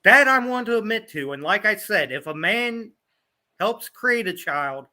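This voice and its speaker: A man's voice: high-pitched, expressive, normal speed, pushed and bright. A man from Ohio, a guest on an online panel talk, arguing bluntly and often repeating his points. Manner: blunt, repeats "pure and simple".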